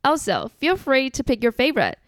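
A young woman with a Chinese accent hosting a solo podcast in an earnest, measured, relaxed way. English is not her first language. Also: sits high, no room sound, studio quality. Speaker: podcast host.

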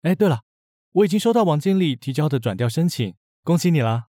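A man playing a composed, affectionate young businessman in a radio drama, calm and gently teasing. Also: fairly high pitch, fast talker, no room sound, studio quality. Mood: tender, teasing. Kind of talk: Mandarin radio drama acting.

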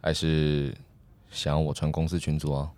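Man acting a very deep-voiced, commanding Taiwanese master. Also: completely flat delivery, moderate pace, darkish tone, slight background noise. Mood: taunting command. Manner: commanding, taunting. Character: domineering adult man, controlling, taunting, impatient master. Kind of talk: audio drama acting.

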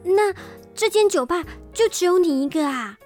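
Woman playing a super high-voiced chatty girl, bubbly, music behind. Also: expressive, moderate pace, darkish tone. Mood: chatty, excited. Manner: bubbly, talkative, flustered when teased. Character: cheerful chatty unemployed young woman. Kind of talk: amateur audio drama acting. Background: background music.

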